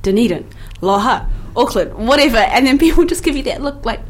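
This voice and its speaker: A woman hosting a casual podcast, upbeat and quick to laugh. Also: on the high side, expressive. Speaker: podcast host. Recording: faint background noise.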